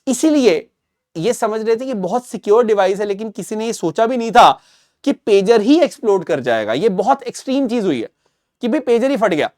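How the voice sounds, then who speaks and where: A man's voice: sits high, expressive, a little fast, a little mellow. A man with an Indian accent presents current affairs analysis in an emphatic, repetitive explanatory way, switching between two languages. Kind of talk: explanatory news analysis to camera.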